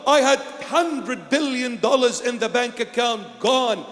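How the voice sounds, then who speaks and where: Man, bishop preaching slowly in a large echoing hall; dramatic swings in volume. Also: extremely high pitch. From Iraq. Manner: dramatic, swings from loud exclamations to hushed lines. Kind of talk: sermon.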